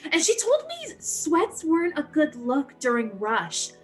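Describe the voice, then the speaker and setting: A woman acting an anxious, defensive young sorority member in a dramatic reading, in an agitated, halting way. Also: sits high, wildly swooping pitch, faint music behind, exaggerated voice. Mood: exasperated. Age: young adult.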